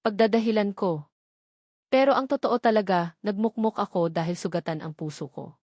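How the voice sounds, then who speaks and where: A woman's voice: medium pitch, normal speed, a little mellow, dry recording, studio quality. A woman reading a first-person story as an audiobook narrator.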